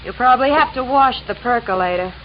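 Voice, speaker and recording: A woman's voice: fairly high pitch, expressive, fast talker, lightly acted. Woman playing brassy hard-boiled dame, muffled radio. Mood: offhand, blasé. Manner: offhand, curt, unimpressed. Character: brassy, slovenly young wife, hard-boiled and blasé. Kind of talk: 1950s radio drama acting. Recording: muffled vintage radio, sizzling noise.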